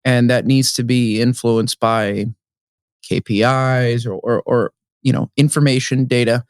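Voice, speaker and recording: A co-host chats casually with his guest and often restarts his sentences. His voice sits at an ordinary pitch. The sound is dry and close. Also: steady tone, measured pace, clean tone, no echo. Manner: chatty, with restarts and hedges. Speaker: podcast co-host. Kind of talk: casual interview chat. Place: conference venue.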